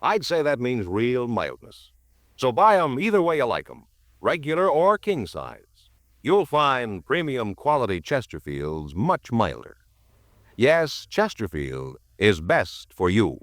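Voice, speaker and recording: A man's voice: mid-range voice, wildly swooping pitch, unhurried, a bit bright, dry recording, clean studio sound. A man announcing in the style of a 1950s radio cigarette commercial, with an emphatic, polished sales delivery.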